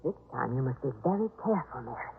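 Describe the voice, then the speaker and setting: Kindly household mistress, lively old-radio delivery. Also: female voice, a bass voice, quick pace, gravelly, over music, exaggerated voice. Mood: encouraging. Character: kind, soft-hearted wife and household mistress. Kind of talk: 1950s American radio drama acting. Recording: narrow-band, faintly noisy 1950s radio recording.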